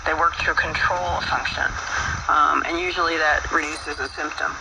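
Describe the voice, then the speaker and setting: Loud shortwave radio noise surrounds a distant-sounding man. His voice sits fairly high for a male. He explains things informally like a radio host, halting often and adding folksy asides. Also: fairly even intonation, vocal fry. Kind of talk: informal explanatory talk on a broadcast, reading quotes aloud.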